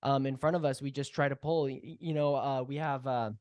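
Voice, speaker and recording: A man's voice: mid-range voice, steady tone, fairly quick, darkish tone, clean tone, dry recording. A man speaking as a podcast guest in a casual interview, with a humble, earnest manner. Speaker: podcast guest, audio drama co-creator.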